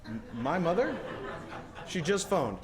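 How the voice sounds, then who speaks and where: A man's voice: on the high side, sing-song, slow pace, poor audio. An actor playing a restless, stubborn young small-town man, stammering and bursting out in a 1940s-style radio play. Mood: awkward, defensive. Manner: stammering, hesitant, then bursting out.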